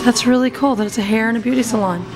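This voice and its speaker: A woman's voice: a little high, racing. A middle-aged woman chatting casually as a vlog host, recorded on a handheld camera. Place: aboard a cruise ship, mostly indoors. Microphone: handheld camera at arm's length. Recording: busy crowd noise behind.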